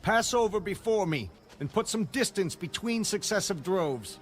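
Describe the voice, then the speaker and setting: A man's voice: high voice, expressive, normal speed. A man playing an anxious, pleading biblical patriarch in a dramatized audio Bible, with formal archaic phrasing. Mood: instructing, earnest. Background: background music.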